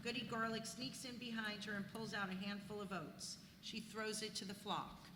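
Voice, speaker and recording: A woman's voice: mid-range voice, fairly even intonation, moderate pace. A woman reading stage directions as scripted narration at a live play reading. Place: live reading in a church venue. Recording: echoey hall, clear background noise.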